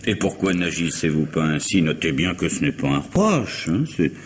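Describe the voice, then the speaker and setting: Stiff businessman, low pitch, indignant but curious, radio thriller, clearly noisy vintage recording. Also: animated, moderate pace. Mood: wary, curious. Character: busy, formal professional man, indignant yet warily curious. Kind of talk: French radio mystery drama acting.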